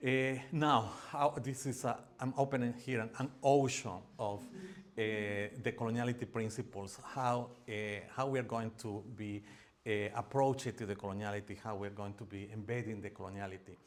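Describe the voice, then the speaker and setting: A man's voice: on the low side, fairly even intonation, normal speed. Hesitant Peruvian-accented man presenting. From Peru. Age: middle-aged. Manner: hesitant, many fillers and restarts. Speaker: academic presenter. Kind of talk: seminar presentation.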